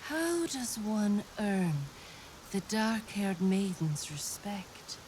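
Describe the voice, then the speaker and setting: A woman playing a teasing, mystical seductress in a fantasy video game, speaking slowly with theatrical pauses. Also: medium pitch, big pitch swings, darkish tone, a little hiss or hum. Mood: sultry, probing. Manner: slow, theatrical, breathy dramatic pauses.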